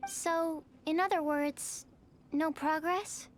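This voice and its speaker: A woman's voice: extremely high pitch, fairly even intonation, slow pace, a little hiss or hum. A woman voicing a timid, bookish young female alchemist who speaks politely and carefully in an anime video game. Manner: hesitant, politely formal, careful. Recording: faint music behind the voice.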